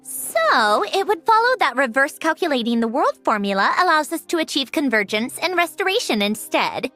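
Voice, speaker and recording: A woman playing a proud, aloof, sharp-tongued young genius in a video game, with a dry, lecturing manner. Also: sits high, very animated, moderate pace, heavily acted. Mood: smug, lecturing. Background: background music.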